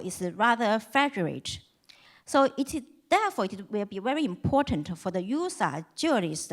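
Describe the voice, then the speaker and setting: A woman on a conference panel, delivering prepared academic remarks in a formal, measured way. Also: a little high.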